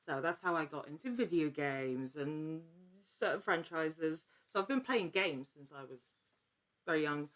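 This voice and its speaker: A woman in her thirties talking casually as an interview guest, with a halting, informal, self-aware manner. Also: low voice, animated, fairly bright tone. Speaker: podcast guest, actor and writer.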